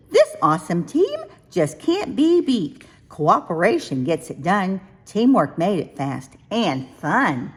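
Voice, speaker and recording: A woman reading a rhyming picture book aloud for young children, with expressive, sing-song, deliberate phrasing. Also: mid-range voice, husky. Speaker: children's storybook reader.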